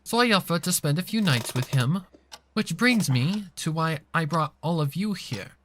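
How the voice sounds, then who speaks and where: A man's voice: a little high, expressive, normal speed, a bit bright, slight background noise. A man voicing a refined female pony who explains things patiently in polite, formal phrasing. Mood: grave, explaining.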